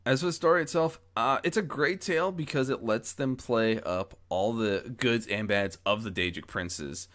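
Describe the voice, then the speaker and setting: A man talking casually and reflectively to his followers as an amateur story narrator, rambling and correcting himself as he goes, close on a boom-arm mic. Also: moderate pace, hardly any pauses, a bit bright. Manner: rambling, self-correcting, frequent false starts. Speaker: amateur audio story narrator and channel host. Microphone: close mic on boom arm with pop guard.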